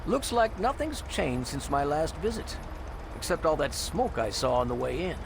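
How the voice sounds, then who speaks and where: An actor playing a salty, roguish ferryboat captain in a video game, a middle-aged man with a gravelly voice and a folksy, sly salesman's patter. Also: a little high, very animated, normal speed, noisy background. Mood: sly, jovial. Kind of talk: video game character dialogue.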